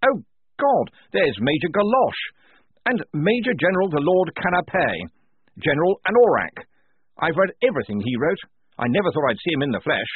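A man's voice: sits high, big pitch swings, brisk, few pauses, slightly rough, no echo, narrow, tinny audio, voice pushed up, heavily acted. A man voicing an eager, pompous, oblivious young army lieutenant in an audiobook story. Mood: awed excitement.

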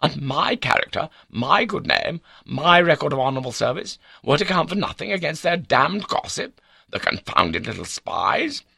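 A man's voice: lowish voice, sing-song, a little fast, creaky, sharp tone, slightly rough, no room sound, studio quality, voice pushed up, heavily acted. A man voicing an arrogant, indignant upper-class baronet in an audiobook, with a blustering, sneering and haughty manner. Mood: haughty, vindictive outrage. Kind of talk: audiobook character dialogue.

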